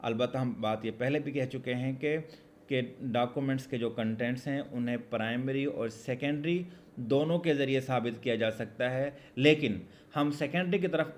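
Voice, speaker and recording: A man with a Pakistani accent giving a law lesson, reading out formal text and explaining it deliberately, repeating key phrases. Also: mid-range voice, slight background noise. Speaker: law lecturer.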